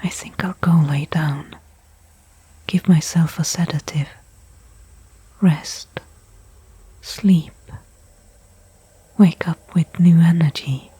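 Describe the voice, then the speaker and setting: A woman playing a weary stranded astronaut in a whispered ASMR sci-fi roleplay, breathy and hushed, thinking aloud with pauses between thoughts. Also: low voice, fairly even intonation, dry recording, exaggerated voice, rough recording. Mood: exhausted. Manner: slow, soliloquizing, pausing between thoughts. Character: weary stranded female astronaut, anxious, faintly hopeful.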